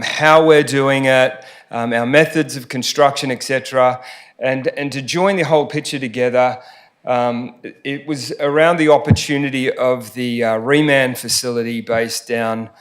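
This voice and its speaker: An Australian man, a company CEO, giving a formal presentation to a city council, with frequent ums and restarts. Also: mid-range voice. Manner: hesitant, many ums and restarts. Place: council chamber.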